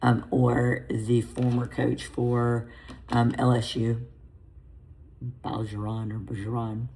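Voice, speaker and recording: A woman in her fifties with a raspy voice, a fitness coach chatting casually to camera in a home vlog, self-deprecating and loose. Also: rumbling low, level tone, takes it slowly, mellow, poor audio. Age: 50s. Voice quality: raspy, gravelly. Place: home garage on a treadmill.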